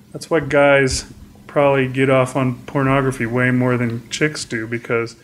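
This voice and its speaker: A man co-hosting a podcast from home, talking in a loose, rambling, easygoing way with frequent laughs. His voice sits in a middle pitch. A faint hiss runs under the recording. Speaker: podcast co-host. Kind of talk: casual chat. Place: home room with plate on wall.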